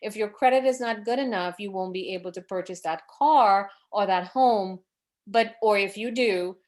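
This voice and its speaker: A woman from Trinidad in her forties, presenting a financial education webinar over a video call, earnest and advising, with fillers and self-corrections. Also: medium pitch.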